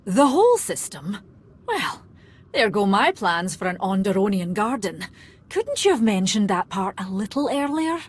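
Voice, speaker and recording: An actress playing a sardonic, dryly expressive woman scientist on an imperial research team in a video game. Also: medium pitch, moderate pace, husky. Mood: wry dismay.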